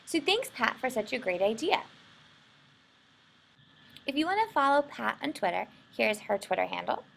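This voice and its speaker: American female instructor for tutorial narration, informal and explanatory, lively intonation with a creaky edge. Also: on the high side, moderate pace, rough recording. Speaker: software instructor.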